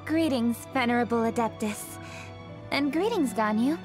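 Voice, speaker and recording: Poised, high-voiced young noblewoman played by a woman. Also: moderate pace, loud music behind the voice, close to an everyday voice. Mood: courteous. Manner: courteous, formal, composed. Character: poised young noblewoman official, polite, formal, dutiful. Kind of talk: video game voice acting.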